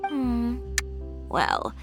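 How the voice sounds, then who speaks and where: A woman playing a brisk, self-assured young fashion designer in a video game, composed and matter-of-fact with a wry edge. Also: fairly high pitch, big pitch swings, over music, heavily acted. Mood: brisk, instructive. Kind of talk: scripted video game character acting.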